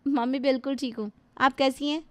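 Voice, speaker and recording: A woman voicing a rude, sharp-tongued young daughter-in-law who scolds her mother-in-law. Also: sits high, fairly even intonation, a little fast, no room sound, pitched above the performer's own voice, natural voice. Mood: sweet. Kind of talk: Hindi family drama acting.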